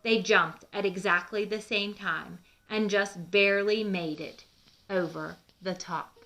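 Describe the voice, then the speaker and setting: Bright, unhurried woman reading aloud. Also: mid-range voice. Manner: expressive, teacherly. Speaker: read-aloud host. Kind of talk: children's storybook narration with explanatory asides.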